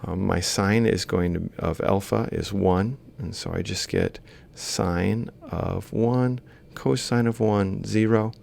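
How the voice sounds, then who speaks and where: A male lecturer speaks in a deep voice thick with creak. He explains a worked example informally, one step at a time, thinking aloud as he goes. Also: takes it slowly, few pauses, darkish tone. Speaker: university lecturer.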